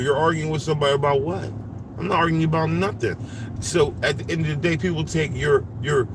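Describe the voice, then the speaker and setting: Man, 40s, rambling livestream talker in a car, street noise behind. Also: mid-range voice. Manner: rambling, animated and repetitive, restarts often. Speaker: livestreamer and driver. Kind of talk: casual monologue. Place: inside a parked vehicle.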